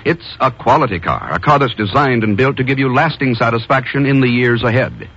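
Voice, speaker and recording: A man with an American accent announcing and pitching sponsors in a polished, emphatic 1950s radio style. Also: lively intonation, fairly quick, fairly bright tone, husky, muffled recording. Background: music.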